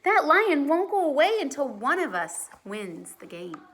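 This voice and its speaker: A woman's voice: sits high, very animated, unhurried, background noise, voice pushed up, full character voice. A woman reading a storybook as an eager, bossy young girl with an urgent, insistent manner.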